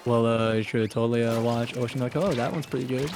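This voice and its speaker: A man's voice: medium pitch, steady tone, fast, creaky. Joking, slangy man over faint music. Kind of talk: casual group banter. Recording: music playing behind the voice.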